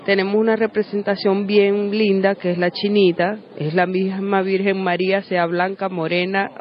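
A woman's voice: mid-range voice, muffled recording. A woman from Venezuela with a Venezuelan accent, speaking fervently in a street interview. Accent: Venezuelan Spanish. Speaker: interviewed pilgrim.

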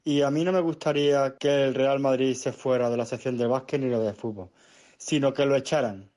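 A man from Spain leaves an emphatic voice message as a listener. His voice is mid-pitched, dark and mellow. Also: steady tone, a little fast.

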